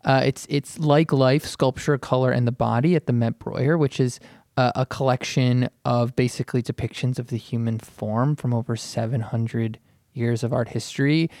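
A man's voice: medium pitch, fairly even intonation, normal speed, a little mellow, dry recording, studio quality. A man hosting a podcast in a casual, playful and wry way. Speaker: podcast host.

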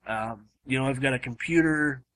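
A man hosting a casual podcast, his voice hoarse and starting to give out, rambling with lots of fillers and restarts. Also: medium pitch, normal speed, a bit bright, rough recording. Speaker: podcast host. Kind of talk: casual chat. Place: home room.

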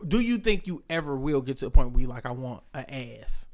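A man in casual, crude comedic banter, teasing and animated, often acting out mock quotes. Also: medium pitch, a little fast.

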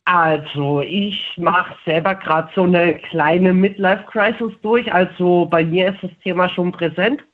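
Phone-in caller, male, twenties, notably high voice, conversational, thin telephone sound. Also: steady tone, a little slow, few pauses. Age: 20s. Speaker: radio show caller. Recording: narrow, tinny phone line.

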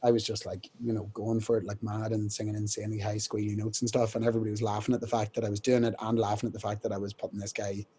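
A young man, a band's singer, telling rambling stories in a casual interview, clearing his throat often. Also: lowish voice, flat delivery, very fast, hardly any pauses, mellow. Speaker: band singer, interview guest.

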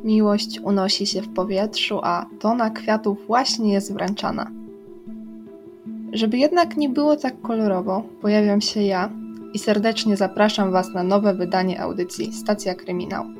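Music plays behind a young woman with a mid-pitched voice. She hosts a radio crime programme and narrates her story from a script. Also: steady tone, moderate pace. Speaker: radio true-crime show host.

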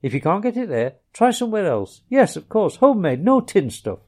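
A man voicing a hearty, masterful male water rat who gives orders briskly. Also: high-pitched, sing-song, a little mellow, voice pushed up. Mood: hearty, commanding. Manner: brisk, commanding, bossy.

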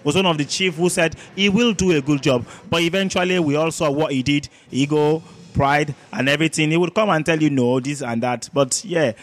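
Male sports panelist, Nigerian accent, fairly high pitch, emphatic and argumentative debater. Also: fairly quick. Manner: argumentative, emphatic, repeats words, rhetorical questions.

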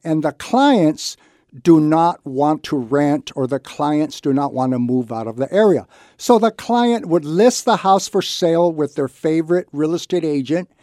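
A man's voice: a little high, animated, measured pace, dark tone, no echo, clean studio sound. A man hosting a radio talk segment as a loan officer, explaining things conversationally in short, repeated, emphatic phrases. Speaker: radio show host and reverse mortgage loan officer.

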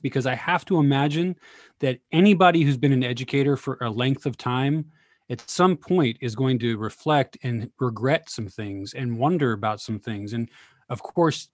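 A man's voice: medium pitch, no room sound, studio quality. A man moderating a discussion, asking questions in a conversational, interviewing style.

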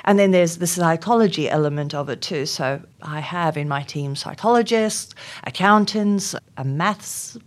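A woman's voice: lowish voice, moderate pace. A middle-aged South African woman, Afrikaans her first language, giving thoughtful interview answers with frequent ums and restarts. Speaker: interview guest, HR executive.

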